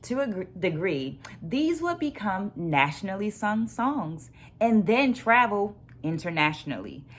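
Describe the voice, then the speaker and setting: A woman hosting a book club vlog, sharing her takeaways in a deliberate, emphatic way and repeating phrases to stress them. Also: mid-range voice, sing-song. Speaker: host of a virtual book club. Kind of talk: book discussion vlog with takeaways and read quotes.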